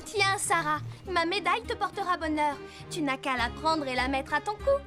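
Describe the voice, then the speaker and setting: A woman voicing a spirited, opinionated young girl in a French cartoon, pert and expressive. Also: very high-pitched, normal speed, music in the background. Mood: sincere. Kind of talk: French cartoon dub acting.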